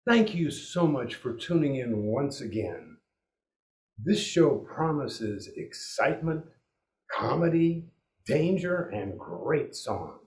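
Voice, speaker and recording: A man's voice: mid-range voice, very animated, takes it slowly, darkish tone. A man co-hosting a radio variety show with theatrical, showmanlike scripted announcing in a 1940s style. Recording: echoing room.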